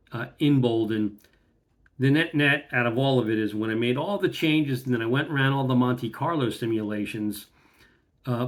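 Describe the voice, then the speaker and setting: A man's voice: mid-range voice, fairly bright tone. A middle-aged man speaks to a close webcam in a room at home, in an ordinary mid-range voice. He goes through one number after another in a plain, methodical way, with a few ums and uhs along the way. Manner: plain, methodical, reads out lots of figures with fillers. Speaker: vlogger. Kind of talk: casual talk to camera.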